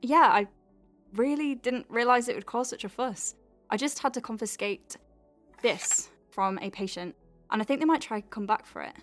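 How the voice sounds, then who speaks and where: A woman's voice: fairly high pitch, moderate pace, faint music behind, natural voice. A woman playing a friendly, wry doctor at a space clinic in an audio drama, speaking in a hesitant, conversational way. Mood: sheepish, wry. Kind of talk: naturalistic audio drama acting.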